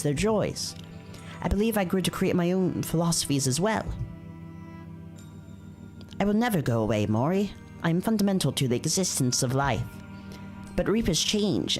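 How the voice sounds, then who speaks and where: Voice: medium pitch, pitched above the performer's own voice, lightly acted. A streamer voicing an ancient, philosophical Reaper from a visual novel, speaking formally in a solemn, reflective manner.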